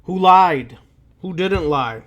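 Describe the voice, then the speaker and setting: A man lecturing like a preacher, speaking deliberately and emphatically, with repetition and rhetorical questions. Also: sits high, animated, a bit bright, highs cut off. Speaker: occult lecturer.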